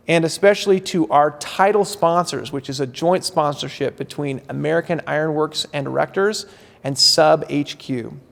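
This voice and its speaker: Gravelly man hosting a gala. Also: a little high. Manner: earnest, thanking sponsors in turn. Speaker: event host. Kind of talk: welcoming speech. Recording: long reverb.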